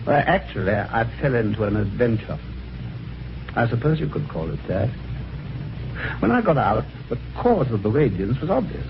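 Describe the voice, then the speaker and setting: An actor playing a genial, chatty British husband with wry gentlemanly phrasing, in vintage radio drama on an old recording. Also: male voice, medium pitch, very animated, moderate pace, gravelly, pauses often, muffled recording, background noise. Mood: wry storytelling. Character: genial, chatty, helpful husband telling his adventure. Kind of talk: 1950s radio drama acting and first-person narration.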